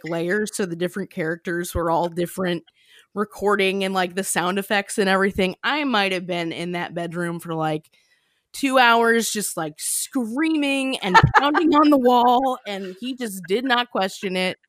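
A woman's voice: medium pitch, moderate pace, a bit bright, no room sound. A woman co-hosting a podcast, chatting casually and giggling, filling her talk with "like". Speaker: podcast co-host. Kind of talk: casual chat.

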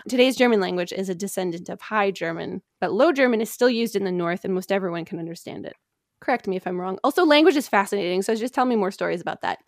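A woman's voice: medium pitch, lively intonation, fast, no echo, clean studio sound. A woman hosting a podcast, telling history from prepared notes in an informal, explanatory way with casual asides.

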